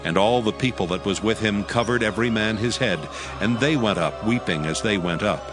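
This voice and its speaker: A man reads scripture as a formal narrator. His voice is on the low side and his delivery is measured. Background music is clearly audible beneath him.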